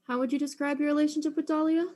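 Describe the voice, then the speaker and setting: A woman's voice: sits high, flat delivery, racing, darkish tone, close to an everyday voice. A woman as a curt, no-nonsense detective. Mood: probing. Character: blunt, tough, exasperated detective questioning suspects. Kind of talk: comic audio mystery drama acting.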